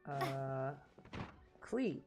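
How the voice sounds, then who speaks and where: A woman's voice: a bass voice, expressive, extremely slow. A woman streaming a game with casual, playful commentary, often muttering to herself. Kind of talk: casual live gameplay commentary. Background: game music.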